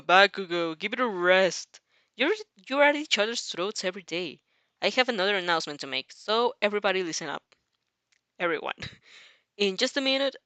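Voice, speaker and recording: A woman's voice: medium pitch, fairly bright tone, clear, resonant tone, dry recording, lightly acted. A woman voicing a weary, easygoing male schoolteacher with an informal manner in an amateur audio fanfic. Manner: sighing, informal.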